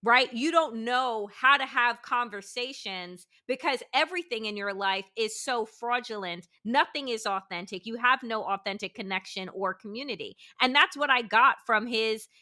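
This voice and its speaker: A woman's voice: a little high, normal speed, few pauses, sharp tone. A woman hosting a casual political chat, speaking emphatically and frankly. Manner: emphatic, forthright commentary. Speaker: podcast host.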